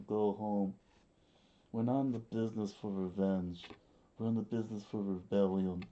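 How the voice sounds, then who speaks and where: A man's voice: fairly low pitch, steady tone, normal speed, warm tone, lightly acted. A man voicing a stern young rebel leader, firm and dismissive, on a dull lo-fi recording.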